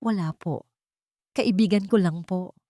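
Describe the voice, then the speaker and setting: A woman's voice: lowish voice, measured pace, mellow, no echo, studio quality, lightly acted. A woman voicing an anxious young daughter, hesitant and respectful, in a story. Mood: anxious pleading.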